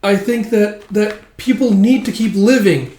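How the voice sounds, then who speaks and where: A man voicing a tiny, excitable, good-hearted elf who exclaims and stammers as he pleads and scolds. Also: high voice, measured pace, voice pushed up. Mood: earnest, friendly. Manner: exclamatory, stammering when upset. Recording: roomy echo.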